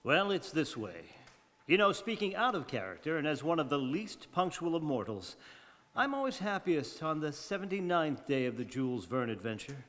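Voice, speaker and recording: A man's voice: fairly high pitch, big pitch swings, moderate pace, a bit bright. A man playing a grandiloquent, wry 1930s radio host in theatrical monologue, eloquent and self-mocking. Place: community theatre radio play staged live. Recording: reverberant hall.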